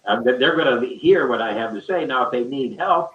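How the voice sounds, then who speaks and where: Man, low voice, colloquial rambling teacher, emphatic, echoey dull recording. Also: animated, normal speed, slight background noise. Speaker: teacher and panel speaker. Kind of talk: unscripted online discussion. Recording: dull, echoey, distant-microphone call audio.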